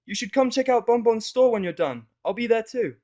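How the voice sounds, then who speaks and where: A man's voice: very high-pitched, lively intonation, a little fast, mellow, muffled recording, pitched above the performer's own voice. A man voicing a playful, cheeky young female pony in a fan fiction audio story, chatty and teasing.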